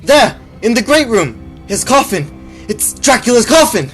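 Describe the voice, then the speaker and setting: Radio drama young hero, male, acted: anguished, lovestruck, exclamatory, extremely high pitch. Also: sing-song, measured pace, fairly bright tone, soft background music, heavily acted. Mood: alarmed. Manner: breathless, melodramatic exclamations. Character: anguished, lovesick young man hunting vampires.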